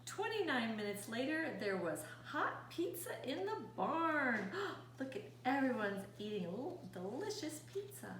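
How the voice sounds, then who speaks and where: Female children's storytime reader: very animated, slow-paced, chatty asides to kids, recorded at a distance with room echo. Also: sits high. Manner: expressive, asks young listeners questions, gasps. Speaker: storytime host reading aloud. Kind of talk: animated children's picture-book read-aloud with asides. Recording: roomy echo, distant mic, faint noise.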